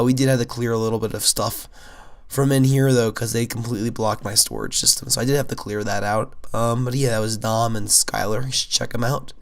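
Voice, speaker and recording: Chatty young gamer guy. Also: medium pitch. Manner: rambling, filler-heavy, enthusiastic. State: sick, nasal and hoarse. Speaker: Minecraft YouTuber. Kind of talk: casual gameplay commentary.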